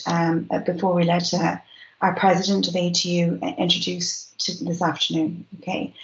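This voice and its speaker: A woman hosting an online event, chatty and friendly, with many fillers. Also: lowish voice. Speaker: event organiser and host. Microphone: video call. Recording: echoey, lo-fi video call audio.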